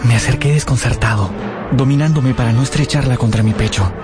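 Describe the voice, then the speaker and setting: A man's voice: medium pitch, fairly quick, loud music behind the voice, lightly acted. An actor playing a tender, melancholy young man in love, narrating in a radio drama.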